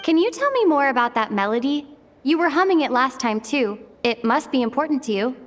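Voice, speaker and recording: A woman voicing a calm, curious young traveler who asks questions gently in a video game dub. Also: high-pitched, brisk, long reverb tail, a little hiss or hum.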